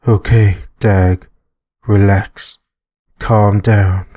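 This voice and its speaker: A man's voice: fairly low pitch, level tone, very slow, mellow. A man voicing a big, mild-mannered male animal in a visual novel, subdued and thoughtful. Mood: self-soothing.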